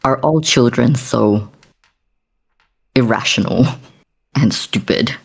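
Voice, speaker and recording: Man playing a slow, disdainful stern man. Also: mid-range voice, vocal fry, slightly rough, heavily acted.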